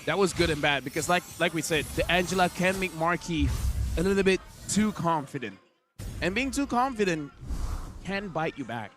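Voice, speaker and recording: A man's voice: fairly high pitch, expressive, moderate pace, fairly bright tone, soft background music. A man from Malaysia giving chatty, slangy analysis as an esports color commentator. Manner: chatty, uses slang like legit and dude.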